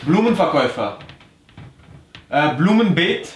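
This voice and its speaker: Animated young man in an echoey room. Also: a little high, measured pace, distant mic. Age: young adult. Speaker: player in a charades game. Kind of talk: casual playful banter. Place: small bedroom, indoors. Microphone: distant camera microphone. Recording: echoey room, faint noise.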